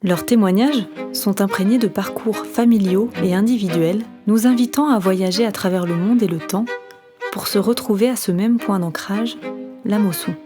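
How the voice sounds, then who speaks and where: A woman narrates a documentary in a calm, measured voice-over. Her pitch sits in the middle for a woman. Bowed strings play clearly under her. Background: string music.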